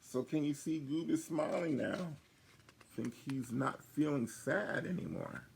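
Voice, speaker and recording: An older man, a grandfather, reading a children's story aloud in a gravelly, husky voice and pausing to explain things and ask young listeners questions. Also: a little high, expressive, a little hiss or hum.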